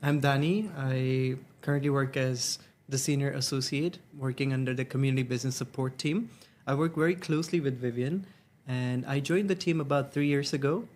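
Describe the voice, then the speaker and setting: A young man, answering interview questions in an earnest, measured way. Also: medium pitch, steady tone, darkish tone. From Hong Kong. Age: 20s. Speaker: senior associate at a nonprofit.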